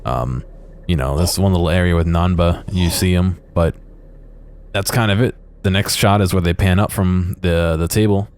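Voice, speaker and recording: A man giving unscripted commentary on video game footage in a hesitant, rambling, low-key way. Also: normal speed, a little mellow, clean tone.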